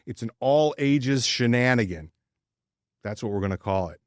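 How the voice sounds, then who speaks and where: A man with a mid-pitched voice chats as a sports podcast co-host. His delivery is informal and joking, with words often repeated as he gets going, in a dry, close recording. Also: lively intonation, moderate pace, dry recording. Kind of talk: casual sports podcast chat.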